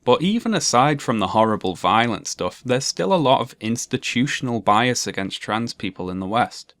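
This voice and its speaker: A young man with a monotone, dryly sarcastic delivery, narrating a commentary video essay. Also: mid-range voice, normal speed, no room sound.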